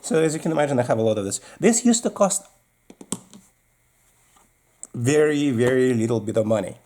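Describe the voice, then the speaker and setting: A man's voice: medium pitch, expressive, normal speed, dark tone. A man with a Russian accent giving practical, casual instructions as a video host, explaining while handling his gear.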